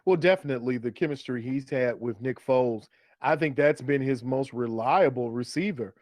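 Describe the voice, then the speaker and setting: A man's voice: medium pitch, normal speed, darkish tone, no room sound, clean studio sound. A man co-hosting a sports show in casual chat, explaining his analysis and repeating phrases for emphasis. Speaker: sports podcast co-host. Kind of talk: casual fantasy football chat.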